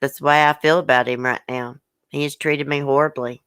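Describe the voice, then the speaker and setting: A woman's voice: rumbling low, fairly even intonation, a little fast, fairly bright tone, smooth, no echo, clean studio sound. A woman hosting a livestream, upset and hurt, bluntly venting and reading viewer comments aloud in casual, swearing speech. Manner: emotional, blunt, colloquial, swears. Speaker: YouTube channel host.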